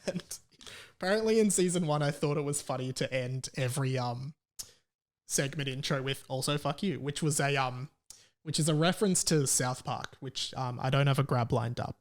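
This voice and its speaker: An Australian man hosting a podcast solo in a casual, rambling, irreverent comedic style. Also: medium pitch.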